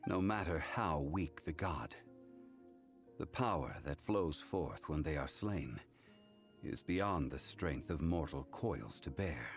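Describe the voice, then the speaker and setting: A grave calm game consultant, really deep n slow, formal measured way of talking. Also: male voice, faint music behind, heavily acted. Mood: somber, measured. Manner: formal, measured, solemn delivery. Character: calm, grave, worldly male consultant recounting hard truths. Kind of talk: English video game voice acting.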